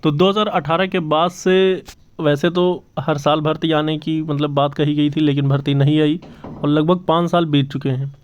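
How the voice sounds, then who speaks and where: A fairly high-pitched man, hosting an exam news update for his online channel. His voice is smooth and clear, but the audio is a little dull. He talks earnestly, circles back to his points and pads them with fillers. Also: little pitch movement, moderate pace. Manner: earnest, repeats points, many fillers and restarts. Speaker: channel host giving job exam news. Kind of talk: casual news update to camera.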